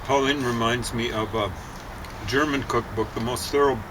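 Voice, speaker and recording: A man with a mid-pitched voice chats casually, chiming in like a companion. The recording has clear background noise with a traffic-like rumble. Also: steady tone, moderate pace. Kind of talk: casual chat.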